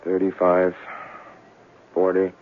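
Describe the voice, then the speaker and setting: An actor playing a fragile, paranoid young artist who swings between babyish pleading and terse muttering, in 1970s radio drama style. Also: male voice, sits low, monotone, slow pace. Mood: flat, calculating. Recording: tinny, lightly noisy old radio recording.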